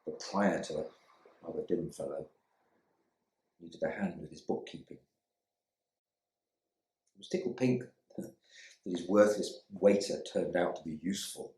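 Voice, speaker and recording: Bernard, a man acting a low, stiff husband. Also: fairly even intonation, measured pace, long pauses, dark tone. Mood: brooding, restrained. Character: Bernard, stiff bitter English husband back from war. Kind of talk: dramatic monologue reading from a novel. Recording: roomy indoor echo.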